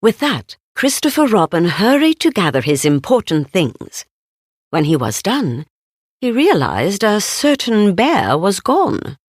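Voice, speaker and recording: A woman reads a children's storybook at an unhurried, slow pace. Her pitch moves expressively up and down, and the recording is dry and close. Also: huge pitch swings, no echo. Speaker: storybook narrator.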